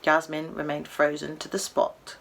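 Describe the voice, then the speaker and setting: A British woman reading a children's story aloud expressively, with animated delivery and dramatic pauses. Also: low-pitched, gravelly.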